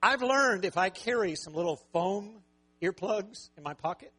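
A man preaching a sermon with a raspy voice, talking conversationally and joking with the congregation. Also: sits high, animated, moderate pace, bright, forceful tone. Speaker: pastor.

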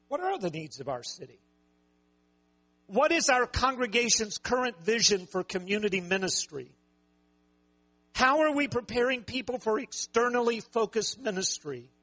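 A man preaching a sermon with a raspy voice, talking conversationally and joking with the congregation. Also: high-pitched, sharp tone. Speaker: pastor.

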